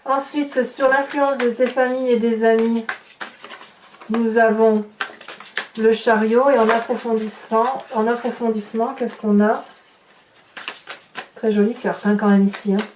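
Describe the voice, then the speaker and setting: A woman's voice: fairly high pitch, flat delivery, unhurried, long pauses, darkish tone. A woman giving an improvised tarot reading to viewers, conversational, commenting on cards as she turns them and tagging her phrases with little interjections. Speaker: tarot reader and horoscope host. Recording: echoey room, distant mic, faint noise.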